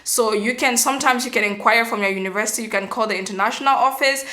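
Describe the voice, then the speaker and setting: A young woman from Cameroon, giving earnest step-by-step advice in a vlog, often pausing on "um" and restarting. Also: a little high, pushed and bright. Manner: earnest, explanatory, frequent um fillers and restarts. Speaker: vlogger.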